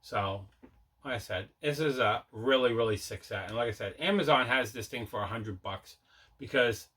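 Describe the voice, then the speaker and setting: A man with a bright, forceful voice presents a collecting show in a casual, chatty way. He sounds enthusiastic and circles back over his own phrases. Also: mid-range voice, normal speed, husky. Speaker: host of a collecting video show. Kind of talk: casual unboxing vlog chat.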